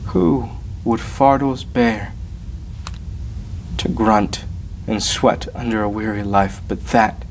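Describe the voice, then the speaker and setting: A man performing a Shakespearean soliloquy as a brooding, anguished young prince, hushed and deliberate, with weighty pauses. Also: medium pitch, low-quality recording. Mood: grave, pensive. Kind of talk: Shakespearean stage monologue. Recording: faint background room noise.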